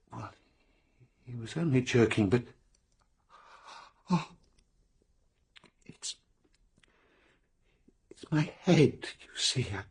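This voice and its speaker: A man's voice: fairly high pitch, slightly rough, full character voice. A man playing a troubled, timid war veteran in a radio drama, speaking hesitantly with stammered repeats. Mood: confused, anguished.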